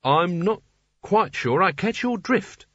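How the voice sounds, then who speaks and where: A man's voice: on the high side, big pitch swings, moderate pace, dry recording, lo-fi sound. A man voicing a gloomy, weary, cowardly failed wizard in a storybook, dry, sardonic and irritable. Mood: baffled, gloomy.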